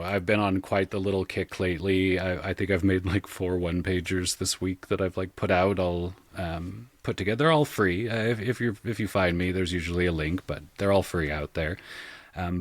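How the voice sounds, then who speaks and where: A man with a very deep voice speaks in a casual interview style. He is thoughtful and halting, choosing words as he goes and staying frank and informal. The sound is dry and close, with no room around it. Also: no echo. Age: millennial, 30s or so. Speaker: guest, audio drama creator and game designer. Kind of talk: casual podcast interview talk.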